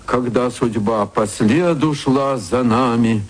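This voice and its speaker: A man reciting his own verse in a measured, solemn, chanting way, from an archive recording. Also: medium pitch. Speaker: poet reading his verse.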